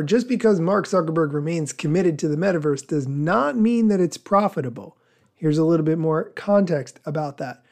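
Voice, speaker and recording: A man with a voice on the higher side presents news as a podcast host. He follows a script, explaining points in a measured manner and setting off quotations formally, with some commentary of his own. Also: vocal fry, a little mellow.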